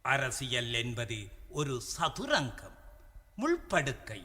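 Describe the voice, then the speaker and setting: A man with a Sri Lankan Tamil accent playing an anguished, fatherly royal elder in a radio drama, speaking in solemn, declamatory, drawn-out phrases. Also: medium pitch, wildly swooping pitch, pushed and bright, heavily acted. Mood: grave pleading. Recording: long hall-like reverb.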